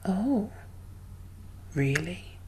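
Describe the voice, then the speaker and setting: A woman speaking softly and often whispering in an ASMR roleplay, gentle and halting, as a guarded but caring apocalypse survivor. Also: on the low side, very animated, noisy background. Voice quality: soft, breathy, often whispered. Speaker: ASMR performer playing a role. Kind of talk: soft-spoken ASMR roleplay.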